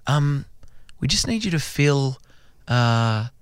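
A man's voice: medium pitch, slow, clean tone, dry recording. A young Australian man co-hosting a radio show, telling embarrassing stories with self-mocking humor. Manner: self-mocking storyteller, animated. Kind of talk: casual radio banter. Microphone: studio microphone.

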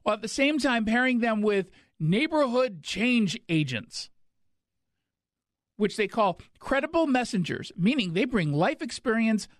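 A man's voice: high voice, big pitch swings, unhurried, no echo, clean studio sound. A man hosting a talk radio show, delivering an indignant, sarcastic monologue.

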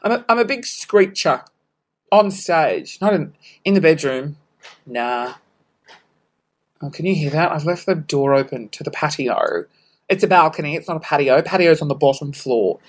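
A young Australian woman hosting her podcast at home, her voice worn hoarse, chatting in a rambling, self-mocking way with scattered asides. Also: low-pitched, expressive, normal speed. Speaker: podcast host. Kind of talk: casual solo podcast chat. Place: home room, balcony door open.

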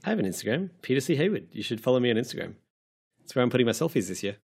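A man's voice: mid-range voice, sing-song, very fast, gravelly, a little mellow, husky. An Australian man co-hosting a podcast, talking casually with a dry, teasing manner. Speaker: podcast co-host.

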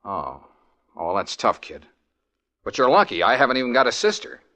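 A brash young detective, quick and cheerful, fills this old radio drama scene. His voice is bright and ringing, swinging up and down with energy. The recording has the feel of a vintage broadcast. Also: mid-range voice, wildly swooping pitch, heavily acted. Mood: breezy. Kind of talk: 1940s radio acting.

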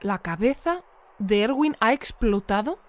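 An actress with a Spain accent playing an earnest, polite news bulletin presenter in an audio fiction mock newscast. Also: female voice, fairly high pitch, animated, unhurried. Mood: puzzled, concerned. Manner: polite, hesitant when questioning.

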